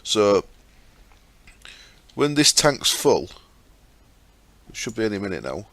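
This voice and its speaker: A man with a fairly low voice and a sore, croaky throat, talking through his game. He chats casually as he explains each step, with plenty of ums and asides. He speaks into a desktop mic as he records his screen. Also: very animated, slow, fairly bright tone, no room sound. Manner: chatty, explains step by step with fillers. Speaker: gaming channel host.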